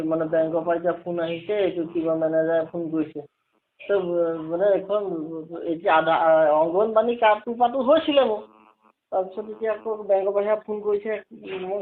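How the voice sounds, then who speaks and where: Male phone customer with an Assamese accent, unusually high pitch, stumbling repetitive delivery, lo-fi telephone audio. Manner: halting, stammering, repeats words, mixes languages. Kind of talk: agitated phone conversation. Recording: muffled lo-fi telephone recording.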